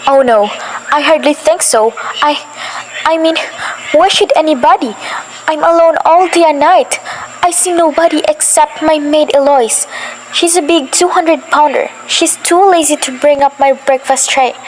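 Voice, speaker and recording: A woman's voice: sits high, fairly even intonation, normal speed, slight background noise, close to an everyday voice, rough recording. A girl playing a fretful bedridden woman. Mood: flustered. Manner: fretful, insistent, rising to panic. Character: anxious bedridden wealthy woman, nervous and demanding. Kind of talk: school radio play acting.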